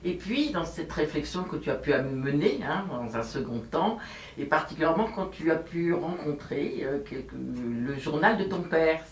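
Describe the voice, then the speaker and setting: A woman's voice: low voice, animated, normal speed. Woman interviewer off mic, echoey room, bit of noise, kinda hesitant phrasing. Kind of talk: informal spoken interview. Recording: roomy, distant mic, faint noise.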